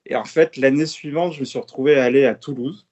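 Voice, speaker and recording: A French man in his fifties chats easily as a podcast guest. His voice sits at an ordinary middle pitch, and he fills his stories with quick asides. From France. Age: 50s. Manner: relaxed storytelling, quick asides and laughs. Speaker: guest tattoo artist. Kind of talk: casual podcast chat.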